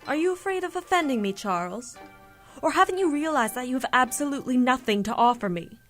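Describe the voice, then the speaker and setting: Woman acting a proud sneering character in an audio drama, highish voice, impatient and cutting. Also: animated, a little fast, soft background music. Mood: scornful. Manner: haughty, cutting, mocking. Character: proud, impatient, disdainful Japanese mutant acquaintance.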